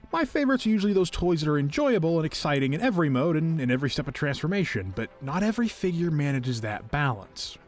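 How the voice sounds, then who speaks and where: A man narrating an enthusiastic scripted review as a video host, with a playful, whimsical and expressive delivery. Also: medium pitch. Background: background music.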